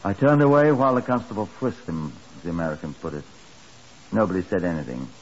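A grave Scotland Yard chief inspector in a 1950s radio drama. His voice is deep and his measured delivery swings widely in pitch. The recording is muffled. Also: animated. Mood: grave, narrating.

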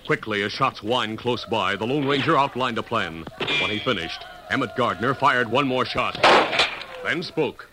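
A man narrating a scripted 1950s radio drama with formal, measured diction and dramatic delivery. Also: medium pitch, very noisy. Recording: old radio broadcast.

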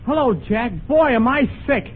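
A man's voice: very high-pitched, normal speed. A young man playing a naive, girl-struck American lad with a guileless manner in a 1930s radio comedy. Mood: eager, naive. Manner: boyish, guileless. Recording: noisy 1930s radio recording.

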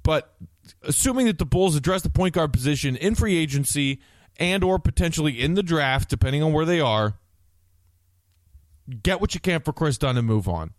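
Emphatic American man, slightly high voice. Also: lively intonation, normal speed, no echo. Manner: emphatic, blunt. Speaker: sports podcast co-host. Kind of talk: opinionated basketball discussion.

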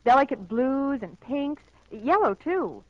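Old muffled radio ad, lady with highish voice, dry sound, explains things neatly. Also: big pitch swings, takes it slowly, no room sound. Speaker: radio commercial presenter. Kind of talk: scripted 1940s radio ad dialogue. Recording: dry, dull 1940s radio recording.

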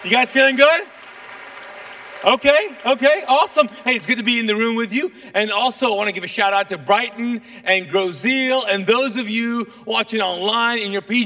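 A middle-aged man who grew up in Kuwait with Urdu as his first language, preaching as a guest pastor to a congregation in a lively, joking way. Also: high-pitched. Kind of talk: sermon. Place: large church auditorium. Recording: long hall reverb.

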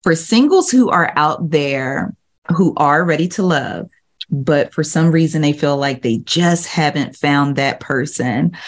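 A woman in her forties asks questions in a playful, lively interview manner. Her voice sits a little low, and she teases her guest as she talks. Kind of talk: casual interview chat.

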